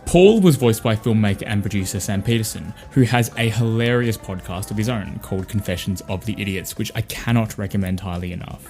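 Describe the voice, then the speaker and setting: Low-pitched Australian podcast host. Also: male voice, brisk, few pauses, a little mellow, faint music behind. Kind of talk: scripted podcast outro and credits.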